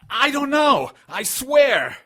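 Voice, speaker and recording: Defiant shady crook, strained n taunting, sharp voice, all over the place, audio drama. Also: male voice, extremely high pitch, sing-song, slow, heavily acted. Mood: pained, spiteful. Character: defiant crooked male associate under threat, spiteful, pained.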